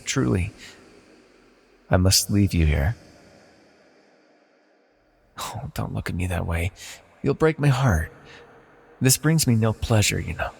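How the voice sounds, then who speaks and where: A man playing an ancient godlike villain, seductive and softly manipulative, with a gravelly, breathy voice in an intimate fantasy audio roleplay. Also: on the low side, in a large hall, heavily acted. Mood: regretful, apologetic.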